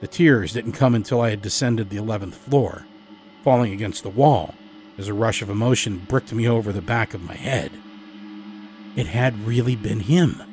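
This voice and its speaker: A man narrating a first-person horror story as a storyteller, dramatic and expressive in his emphasis. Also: on the low side, moderate pace, faint music behind. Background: musical score.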